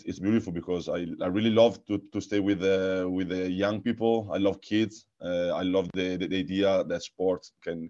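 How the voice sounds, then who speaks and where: A man with an Italian accent, a guest answering interview questions, speaking haltingly with many repeated words and restarts. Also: low voice, darkish tone, lo-fi sound. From Italy. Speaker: guest, futsal coach and technical director.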